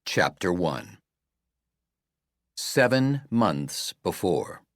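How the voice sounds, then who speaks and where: A man reading a novel as an audiobook narrator, in a measured, deliberate way with falling phrase endings. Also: sits low, very animated, no room sound, clean studio sound.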